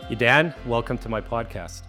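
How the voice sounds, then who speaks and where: Guy hosting a podcast, laid back, asks lots of follow ups, average pitch. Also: normal speed, sharp tone, soft background music. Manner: casual, probing questions. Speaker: podcast host. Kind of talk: podcast interview.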